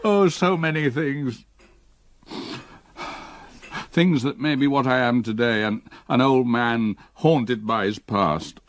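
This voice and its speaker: An actor playing a grief-stricken old man haunted by his past, in a literary, measured gothic radio drama style. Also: mid-range voice, no room sound, close to an everyday voice. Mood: anguished, tearful. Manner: literary, measured, emotionally burdened.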